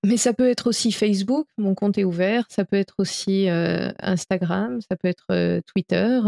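A woman's voice: mid-range voice, moderate pace, creaky, mellow. A woman from France, an interpreter, answering interview questions earnestly with many hesitations and restarts. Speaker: interpreter, guest.

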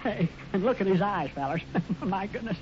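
High-voiced gullible Western sidekick. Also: male voice, lively intonation, normal speed, darkish tone. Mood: naive, pleased. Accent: American. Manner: folksy drawl, naive, rambling. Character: gullible, good-natured folksy Western sidekick, talkative bumbler. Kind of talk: 1950s radio serial acting. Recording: muffled, noisy old radio recording.

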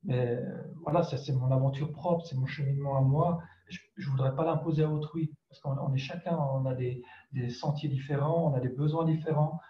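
A man's voice: mid-range voice, steady tone, brisk, few pauses. A man from France, a writer answering interview questions reflectively and hesitantly, searching for his words. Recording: dull, roomy, echoing sound.

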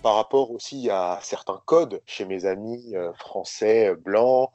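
A man guesting on a podcast, speaking in a reflective, thoughtful way with frequent pauses and fillers. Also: lowish voice, mellow, no echo, lo-fi sound, soft background music. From France. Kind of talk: reflective interview talk.

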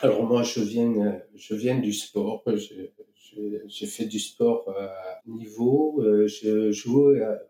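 A man's voice: fairly low pitch, fairly even intonation, takes it slowly, dark tone. A man from France answering interview questions in a hesitant, explanatory, conversational way. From France, Marseille. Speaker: interview guest, company co-founder. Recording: echoey room, distant from mic.

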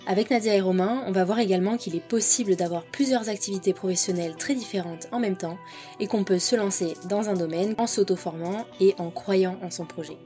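A woman's voice: medium pitch, brisk, dark tone, faint music behind. A woman hosting a podcast, presenting and interviewing guests.

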